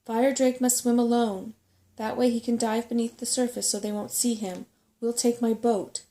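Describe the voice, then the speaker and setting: A woman's voice: fairly high pitch, level tone, normal speed, warm tone, natural voice. A woman voicing a plucky, frightened young boy in a storybook, urgent and protesting.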